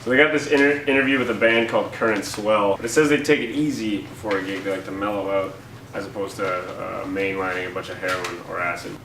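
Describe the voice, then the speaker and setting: A young man co-hosting a casual satirical show, joking in a sarcastic, deadpan way. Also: lowish voice, quick pace. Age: young adult. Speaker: co-host of a campus humour paper's show. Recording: roomy, distant mic, faint noise.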